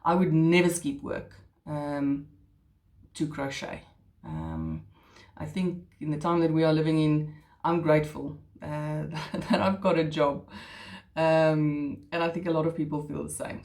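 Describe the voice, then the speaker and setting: A woman's voice: deep voice, a little slow, a little mellow. A middle-aged woman, an Afrikaans speaker, hosting her crochet channel in a chatty, self-deprecating way with hesitant restarts. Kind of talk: casual chat.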